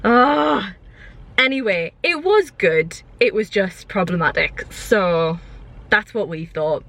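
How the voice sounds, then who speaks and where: A woman's voice: mid-range voice, animated, slow, fairly bright tone. A young British woman chatting casually to her vlog viewers in an informal, rambling way. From United Kingdom. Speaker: vlogger.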